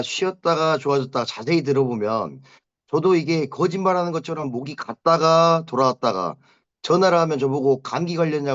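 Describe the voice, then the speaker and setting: A man in his thirties gives an informal spoken testimonial to a group, speaking haltingly with drawn-out pauses. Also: on the high side. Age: 30s. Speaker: business owner giving a product testimonial. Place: online video meeting. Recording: dull, lo-fi online meeting audio.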